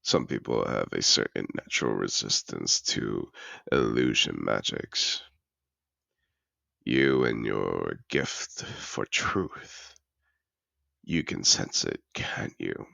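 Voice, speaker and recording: A man playing a seductive, possessive dragon in human disguise in an intimate fantasy audio roleplay, teasing and courtly in a slow, gravelly murmur. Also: animated, pushed and bright, no room sound, full character voice. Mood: soothing, apologetic. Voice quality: very deep, gravelly. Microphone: close, dry microphone.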